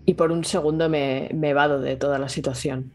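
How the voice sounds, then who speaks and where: Male player, slightly high voice, halting reflective in-character lines, soft music under. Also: fairly even intonation. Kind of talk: in-character roleplay talk.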